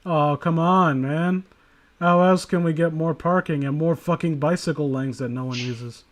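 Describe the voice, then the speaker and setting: Male story-stream host, high voice, wry and crude, loose conversational banter. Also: fairly even intonation, moderate pace. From New York City. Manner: sarcastic, joking, foul-mouthed. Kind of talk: casual banter mixed with reading aloud.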